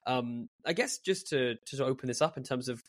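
A man of middle pitch hosts a podcast interview. He asks friendly, loosely built questions and fills them with small hesitations as he thinks aloud. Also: moderate pace. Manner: friendly, rambling questions with fillers. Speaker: podcast host. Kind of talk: casual interview.

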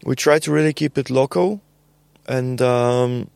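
A young adult man with a Bulgarian accent, a podcast guest talking casually in a halting way with many fillers and repeated words. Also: medium pitch, vocal fry, darkish tone, clean tone, no room sound. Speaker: podcast guest, label co-founder. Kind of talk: casual conversational interview.

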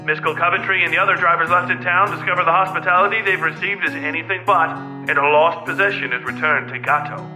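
A man's voice: on the high side, fast talker, rough recording. A man reading a scripted story recap and teaser in a theatrical, suspenseful way. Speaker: podcast narrator giving recap and teaser. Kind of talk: dramatic scripted narration. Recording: music under the voice. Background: music.

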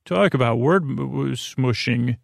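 A man hosting a bedtime podcast in a creaky, lulling voice, rambling and stammering through meandering tangents and false starts. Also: mid-range voice, measured pace, dry recording, studio quality. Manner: soothing, lulling. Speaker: podcast host.

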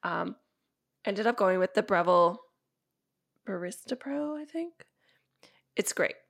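A young woman co-hosting a podcast in casual chat, sounding congested and stuffy as she gets over an illness, rambling with lots of fillers and quick laughs. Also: medium pitch, lively intonation, creaky. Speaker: podcast co-host.

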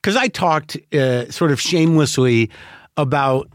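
A middle-aged podcast host talks in a frank, rambling way, often restarting his sentences. His voice sits fairly high for a man. The sound is close and dry, with no room around it. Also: unhurried, no room sound. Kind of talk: casual interview chat.

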